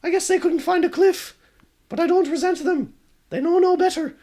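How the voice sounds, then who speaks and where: A man voicing a young ghost girl, gentle and forgiving, in a high, bubbly voice. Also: moderate pace.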